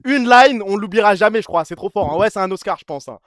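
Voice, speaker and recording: A man's voice: sits high, lively intonation, fast talker, no room sound, studio quality. A man hosting a live stream in casual chat, giggly and exclamatory, talking in slang. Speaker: streamer hosting a role-play.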